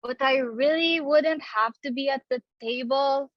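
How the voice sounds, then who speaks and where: Woman playing a high-voiced, timid young daughter. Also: a little slow, no room sound, dull audio. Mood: meek, helpful. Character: timid, meek young daughter of a society hostess. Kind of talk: dramatic reading of a stage comedy.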